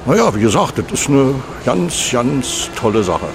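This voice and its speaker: A man's voice: medium pitch, big pitch swings, normal speed, gravelly. An actor playing a chatty, effusively grateful ordinary man who rambles and repeats himself in a radio drama. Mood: gushing. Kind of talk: radio drama vox pop. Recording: noisy outdoor urban traffic.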